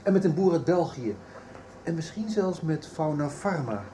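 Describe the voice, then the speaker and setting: Casting: male actor as middle-aged businessman dad, radio drama; slightly high, soft-edged voice, businesslike and hesitant. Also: animated, close to an everyday voice. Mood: worried, formal. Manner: businesslike, halting. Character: worried middle-aged businessman father, authoritative but hesitant. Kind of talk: 1990s Dutch radio soap acting. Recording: faint background noise.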